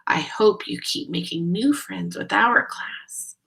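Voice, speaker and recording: A woman voicing a kind female schoolteacher in a storybook, gentle and encouraging. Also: on the low side, slow, creaky, full character voice. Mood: pleased, encouraging.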